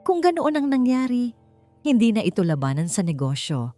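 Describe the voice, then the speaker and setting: A woman voicing a calm, calculating old businessman in a measured way for a story narration. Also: a little high, soft background music, voice pushed up. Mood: grave, calculating.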